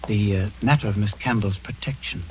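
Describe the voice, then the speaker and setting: An actor playing a composed middle-aged man posing as a doctor, speaking with calm, measured, clinical authority in a vintage radio drama. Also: lowish voice, close to an everyday voice, poor audio. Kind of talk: 1940s radio acting.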